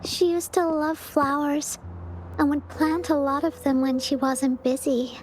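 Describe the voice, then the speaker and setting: A soft wistful young girl. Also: sits high, flat delivery, unhurried, a little hiss or hum. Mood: sad. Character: gentle young girl missing her mother. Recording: game soundtrack music behind.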